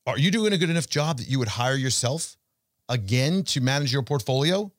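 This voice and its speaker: A man hosting a financial talk show, speaking conversationally and emphatically to listeners, with rhetorical questions and deliberate pauses. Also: medium pitch, expressive, dry recording, clean studio sound. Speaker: financial show host and portfolio manager. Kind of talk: conversational investment commentary addressed to listeners.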